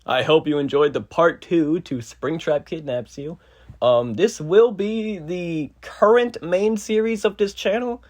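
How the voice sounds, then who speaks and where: A man with a fairly high-pitched voice talks as a channel host. His delivery is informal and conversational, like a casual sign-off to subscribers. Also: unhurried, darkish tone.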